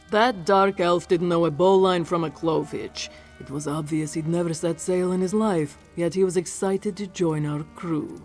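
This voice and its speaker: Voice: mid-range voice, lightly acted. An actor voicing a sardonic, impatient cat-folk first mate of a pirate ship in a video game, blunt and sarcastic.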